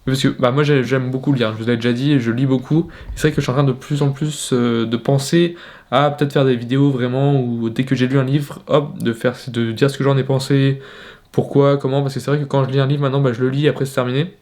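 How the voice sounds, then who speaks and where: A man's voice: mid-range voice, steady tone, a little fast, darkish tone. Young male fitness vlogger, casual bedroom talk to camera, hesitant delivery with restarts. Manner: hesitant, many fillers and restarts. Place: at home in his bedroom.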